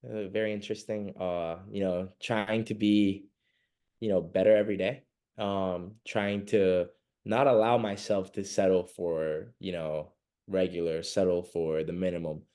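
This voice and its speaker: A man's voice: low voice, fairly even intonation, a little slow, warm tone. A young man from Venezuela, a podcast guest chatting casually and rambling with lots of fillers. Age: young adult. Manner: rambling, many fillers and laughs. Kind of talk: casual interview chat.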